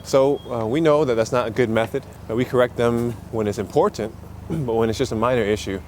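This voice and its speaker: A man's voice: medium pitch, fairly quick, a little mellow. A man talking casually as he walks and explains things as an English teacher, full of uh and you know. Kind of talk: casual walking vlog. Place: busy city streets outdoors. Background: faint street and traffic noise.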